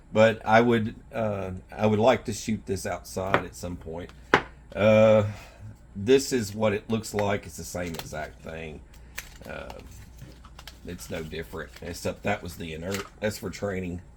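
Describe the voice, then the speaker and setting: A man hosting a gear video, talking to viewers in an informal, rambling, folksy way with a rough, husky voice. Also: lowish voice, a little hiss or hum. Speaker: survival gear video host. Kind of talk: casual product showcase talk to viewers. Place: indoors in a house.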